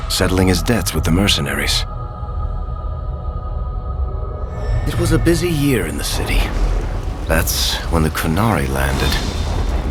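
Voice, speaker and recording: An actor playing a roguish, wry dwarf storyteller, narrating a game's tale in a knowing, measured, dramatic way. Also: male voice, low-pitched. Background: music.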